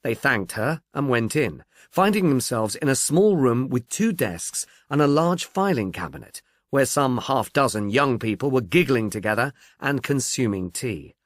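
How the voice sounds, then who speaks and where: A man's voice: mid-range voice, no room sound, clean studio sound. A man reading literary fiction as a scripted audiobook narration.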